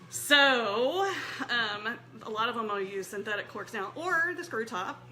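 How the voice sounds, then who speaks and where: A woman's voice: a little high, animated, a little slow, bright, forceful tone, low-quality recording. A woman hosting a casual wine-tasting chat, talking in a rambling, friendly way with frequent laughs and asides. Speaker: show host.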